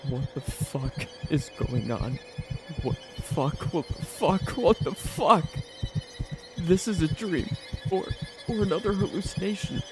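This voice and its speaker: Man acting a frantic, terrified man, voice pitched up. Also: animated, a little slow, music in the background, rough recording. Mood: panicked desperation. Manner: frantic, pleading, repeating himself. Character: terrified, desperate young man haunted by a demon. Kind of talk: horror audio story acting.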